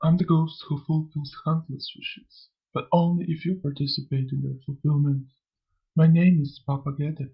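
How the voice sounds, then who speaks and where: A man voicing a sly, amused old spirit in a motion comic, lecturing theatrically in a gravelly, husky voice. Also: a little high, steady tone, moderate pace, lo-fi sound. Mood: teasing, inviting. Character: sly, amused old male spirit who preaches and taunts. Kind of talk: scripted motion comic dialogue.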